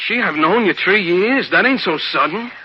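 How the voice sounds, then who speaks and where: A man's voice: high-pitched, animated, normal speed, breathy edge. An actor playing an earnest, simple young working man in love, hesitant and unpolished in his speech, in a 1950s radio drama. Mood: baffled, protesting. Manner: hesitant, stammering, pleading. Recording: muffled vintage radio recording.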